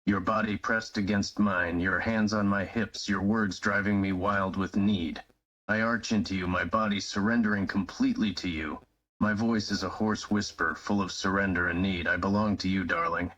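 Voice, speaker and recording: A man playing a devoted, yielding young male lover in a live-streamed romantic roleplay reading, with slow, breathy, dramatic declarations. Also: sits low, steady tone, a bit bright, lo-fi sound.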